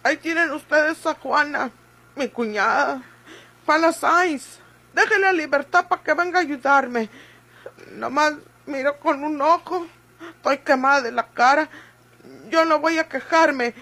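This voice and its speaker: Scared hurt mexican ranch woman, high plaintive voice, begging, old radio drama style. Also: unhurried, bright, forceful tone, full character voice. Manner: plaintive, rustic speech. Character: frightened, pleading injured country woman. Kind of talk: Mexican golden-age radio soap acting.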